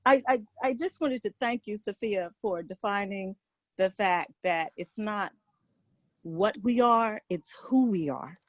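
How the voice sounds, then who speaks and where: A woman's voice: medium pitch, a little slow, no echo. A woman on a video call speaking earnestly and emphatically, repeating key points. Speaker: participant.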